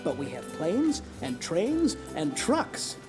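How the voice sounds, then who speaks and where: A man's voice: a little high, wildly swooping pitch, takes it slowly, music in the background, heavily acted. A man voicing a friendly, helpful mailman in a children's cartoon, kindly explaining things. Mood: cheerful, explaining. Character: friendly, helpful postal worker showing a child around.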